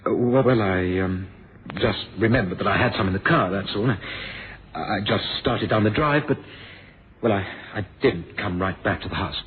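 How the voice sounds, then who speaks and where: Man playing defensive, low-voiced son, old radio. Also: moderate pace, lightly acted. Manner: hesitant, stammering, clipped. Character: defensive, evasive, sardonic grown son under suspicion. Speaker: actor in a radio drama. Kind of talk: 1970s radio mystery drama acting. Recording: noisy, phone-like old radio recording.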